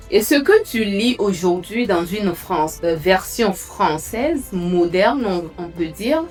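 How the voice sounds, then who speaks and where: A woman hosting her own channel, talking directly to the viewer in a conversational way and often asking them questions. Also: mid-range voice. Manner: conversational, asks the listener questions. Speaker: host. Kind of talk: casual direct-to-camera talk.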